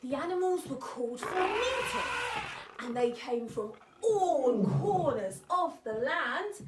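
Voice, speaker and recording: A woman hosting a children's story show, telling tales in an expressive way with drawn-out emphasis. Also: high voice, over loud music. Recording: roomy, distant mic, faint noise.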